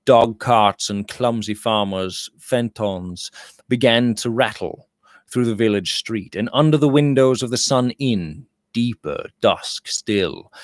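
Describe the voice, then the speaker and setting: A man narrating a literary novel as an audiobook reader, with deliberate, expressive phrasing. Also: on the low side, dry recording, studio quality.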